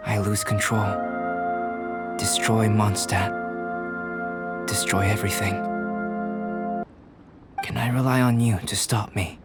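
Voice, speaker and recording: An actor playing a calm, reserved young male alchemist in a video game, speaking in a measured, formal, scholarly way. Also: lowish voice. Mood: grave. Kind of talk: video game cutscene voice acting. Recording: music behind the voice. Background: game music.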